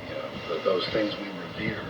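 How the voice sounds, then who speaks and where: An American man giving a rambling, off-the-cuff radio monologue as a host, halting, repetitive, grandiose and coarse-spoken, over shortwave radio. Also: low voice. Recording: muffled, very noisy distant shortwave radio broadcast.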